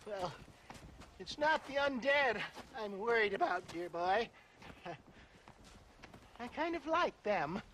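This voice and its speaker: A man's voice: sits high, animated, slow, pauses often, fairly bright tone, slight background noise, full character voice, poor audio. An actor playing a flamboyant, theatrical older con-man selling health tonics, with a chuckling, wheedling manner. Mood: theatrical scheming. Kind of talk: video game cutscene acting.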